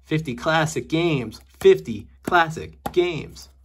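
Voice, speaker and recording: A man's voice: fairly high pitch, animated, slow pace, slight background noise. Chatty wry man sorting games. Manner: chatty, wry, jokey asides. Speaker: video game collector vlogger. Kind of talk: casual unboxing commentary. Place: indoors at home.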